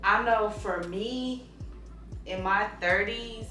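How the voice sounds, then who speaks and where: A woman in her thirties hosting a casual chat, animated and slangy, laughing often. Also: mid-range voice, slow. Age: 30s. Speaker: host. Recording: roomy sound from a distance with music.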